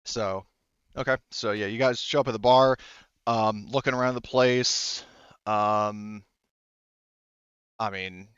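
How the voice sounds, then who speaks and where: A man narrating a tabletop game casually as game master, in a rambling, halting way with frequent self-interruptions. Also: lowish voice, steady tone, fairly bright tone, smooth, no room sound, lo-fi sound.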